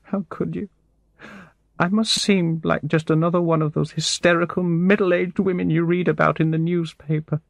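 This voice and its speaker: Man voicing a distraught, shaky middle-aged woman, pitched high. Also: big pitch swings, measured pace, pauses often, darkish tone, husky, dry recording, exaggerated voice. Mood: tearful, desperate. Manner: emotional, confessional, pleading.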